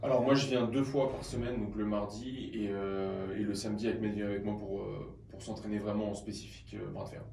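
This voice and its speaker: A man's voice: lowish voice, level tone, brisk, hardly any pauses, darkish tone, slight background noise. A man answering conversationally as a sports guest in a radio interview. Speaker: arm-wrestling athlete, radio guest. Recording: roomy, slightly distant mic.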